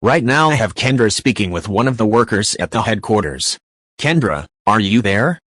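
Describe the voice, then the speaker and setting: A man's voice: on the low side, sing-song, moderate pace, a bit bright, no echo. A man playing a formal breaking-news anchor, reading scripted news. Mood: serious, formal.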